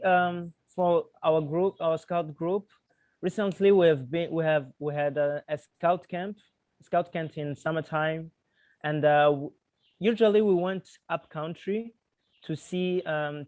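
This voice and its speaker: A man's voice: fairly high pitch, a little mellow, no echo. A man in his twenties from Burundi, a scout group leader, talking on a video call with many restarts and fillers. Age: 20s. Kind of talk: casual chat. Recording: dull lo-fi call audio.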